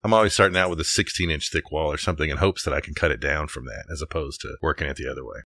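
Deep, bright-voiced man chatting casually. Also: rapid-fire, no room sound, clean studio sound. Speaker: podcast co-host, architecture teacher. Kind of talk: casual conversational podcast talk.

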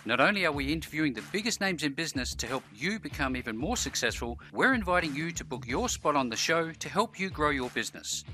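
A man's voice: mid-range voice, sharp tone. A man hosting a business interview podcast, reading an upbeat show intro and asking his guest questions. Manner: upbeat, fast promotional delivery with light laughs. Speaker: podcast host.

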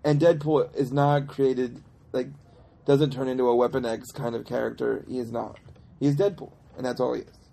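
Male podcast talker, creaky and mellow, casual but insistent, picky about details. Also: medium pitch, flat delivery, normal speed. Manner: emphatic, nitpicking comic-book fan. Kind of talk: casual chat, opinionated film discussion.

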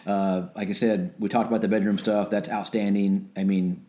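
A man in his late forties co-hosting a health podcast, relaxed and joking with wry asides. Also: lowish voice, normal speed. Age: 40s. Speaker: podcast co-host. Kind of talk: casual health podcast chat.

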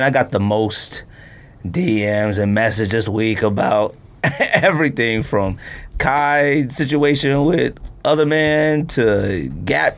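A man hosts a casual studio podcast at an average male pitch. He rambles in slangy, animated fashion, moving loosely from one aside to the next. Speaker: sneaker podcast host. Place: podcast recording room, in studio.

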